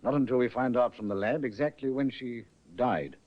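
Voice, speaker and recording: An actor playing a skeptical, probing police inspector who speaks in clipped questions, in an old radio drama. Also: male voice, mid-range voice, brisk, close to an everyday voice. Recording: muffled old radio broadcast.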